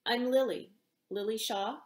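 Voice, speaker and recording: A woman's voice: on the high side, steady tone, a little slow, natural voice. A woman voicing a shy, flustered young woman with a hesitant manner in a story reading. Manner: timid, hesitant. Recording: roomy home echo.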